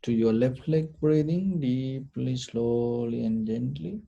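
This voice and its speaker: A man leading a guided meditation, giving short, deliberate instructions in a gentle, soothing manner. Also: mid-range voice, creaky, lo-fi sound. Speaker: meditation teacher.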